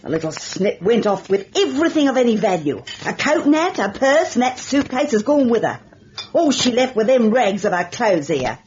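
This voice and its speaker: An actress playing a bossy, indignant boarding house landlady who scolds in rough working-class grammar, in old radio drama style. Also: on the high side, very animated, moderate pace, a little mellow. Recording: dull old radio recording, faint noise.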